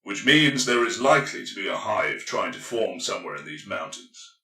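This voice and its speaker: A man's voice: on the low side, expressive, moderate pace, bright, forceful tone, roomy sound. A man voicing a grim, commanding space marine sergeant in an audiobook, terse, tense and authoritative. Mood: grim, assured. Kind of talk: audiobook character dialogue.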